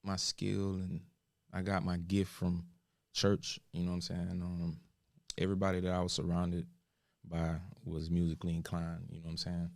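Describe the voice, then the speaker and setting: A man's voice: low voice, completely flat delivery, normal speed, creaky, a little mellow, dry recording, clean studio sound. A man from Florida, a musician guest, chatting casually and laid-back, often saying "you know what I'm saying". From Pompano Beach, Florida. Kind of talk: casual chat.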